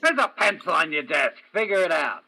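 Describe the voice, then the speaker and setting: A man's voice: on the high side, sing-song, fairly quick, creaky, pushed and bright, slightly rough, no room sound, full character voice, low-quality recording. A man playing a persistent, world-weary hard-boiled detective in a radio crime drama. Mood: pressing. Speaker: stage actor in a radio play. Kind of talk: old-time radio crime drama acting.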